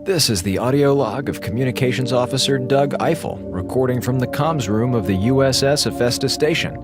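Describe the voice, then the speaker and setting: An actor playing a chatty, sarcastic space station communications officer with an American accent, logging theatrically in a radio drama. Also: male voice, medium pitch, a little fast, natural voice. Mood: mock-cheerful confiding. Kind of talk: radio drama audio log monologue. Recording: music behind the voice.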